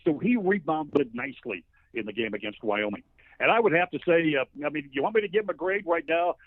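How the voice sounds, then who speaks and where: A man's voice: a little high, lively intonation, brisk, no echo. Man on a thin phone line, talking football. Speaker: sports play-by-play broadcaster, interview guest. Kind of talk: conversational football analysis.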